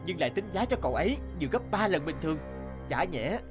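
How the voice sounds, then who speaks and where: A man's voice: fairly high pitch, sing-song, fast talker, music in the background. A man voicing an earnest, humble young male hero in a cartoon, in both dialogue and storytelling. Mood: indignant.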